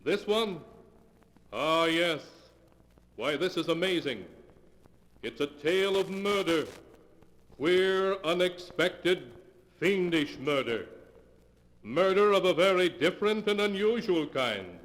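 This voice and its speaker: An actor playing an eerie keeper of macabre tales, savouring words in drawn-out 1940s radio horror style. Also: male voice, on the high side, lively intonation, a bit bright, long reverb tail. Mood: gleefully sinister. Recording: faintly noisy 1940s radio recording.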